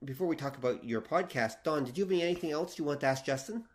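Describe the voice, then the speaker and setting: A man's voice: on the high side, fast. A Canadian man co-hosting a podcast, talking casually in a jokey, self-deprecating way. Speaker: podcast co-host.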